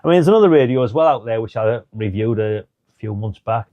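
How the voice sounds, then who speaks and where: Middle-aged British man, lowish relaxed voice, informal hobbyist reviewer chatting through gear, close dry recording. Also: quick pace, no room sound, highs cut off. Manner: chatty, informal, thinking aloud. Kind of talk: casual product rundown to camera.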